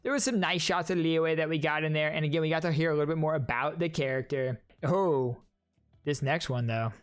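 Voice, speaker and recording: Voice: mid-range voice, fairly quick, clean tone, soft background music. A streamer giving casual, joking reaction commentary and ranking game trailers while talking to chat. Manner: chatty, joking, addresses chat directly.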